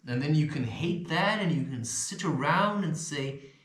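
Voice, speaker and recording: A man with an occasional stutter drifts through a rambling self-help monologue. His voice sits a touch high and swoops around in lively pitch, while he talks slowly. The room around him rings with echo. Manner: loose, swearing, chuckling, animated. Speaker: self-help video host. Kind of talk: rambling spiritual advice monologue. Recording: echoey room.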